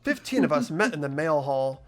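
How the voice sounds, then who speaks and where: A person reading visual novel narration and dialogue expressively, voicing an earnest, anxious high school student. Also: sing-song, moderate pace, soft background music. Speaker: player reading a video game's lead role aloud.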